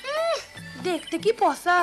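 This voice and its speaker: A cheeky little village kid, very high-voiced, with a rural Bangladeshi accent. The child sounds playful, with music behind. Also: very animated, slow, exaggerated voice. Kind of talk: cartoon character voice.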